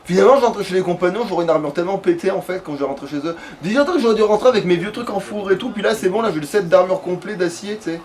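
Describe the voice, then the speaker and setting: A man's voice: high voice, rapid-fire, few pauses, a little mellow. A man giving casual, slangy, sweary let's play commentary while chatting with a partner. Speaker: let's player. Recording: clearly noisy home recording.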